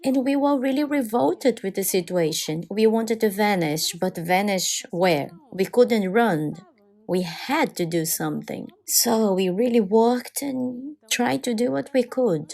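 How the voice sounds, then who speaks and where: A woman voicing over a personal testimony in non-native English, speaking in short, deliberate phrases. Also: mid-range voice, darkish tone. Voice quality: non-native English with halting, irregular grammar. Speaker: voice-over translator of a church testimony. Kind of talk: dubbed first-person testimony narration.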